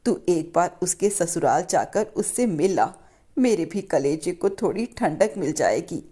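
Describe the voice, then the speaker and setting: A woman voicing a gentle, anxious, ailing old mother who pleads tenderly in a moral story. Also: lowish voice, expressive, measured pace, breathy edge. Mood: worried, tender.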